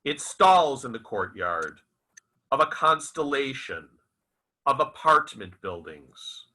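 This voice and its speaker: A man reciting his own literary fiction as its author, in a theatrical, deliberate and emphatic manner. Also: medium pitch, big pitch swings, pushed and bright, low-quality recording. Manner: dramatic, deliberate, emphatic with repeated phrases. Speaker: author reading own novel aloud.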